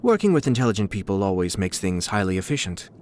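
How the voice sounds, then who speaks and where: A man voicing a refined, suave young nobleman and clan head in a video game, coolly shrewd, with polished, measured, formal diction. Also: low voice, very animated, a little mellow, a little hiss or hum. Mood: courteous, wry. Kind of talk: scripted video game character dialogue. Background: background music.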